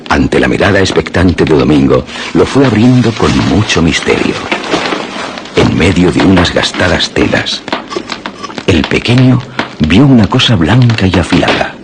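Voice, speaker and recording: A man narrating a scripted suspense radio drama in a measured, dramatic way. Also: low-pitched, big pitch swings, noisy background.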